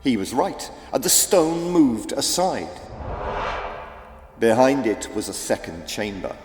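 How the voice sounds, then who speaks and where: A man narrating a children's audiobook as dramatic, deliberate, theatrical storytelling. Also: medium pitch, very animated. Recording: echoey reverb with faint music.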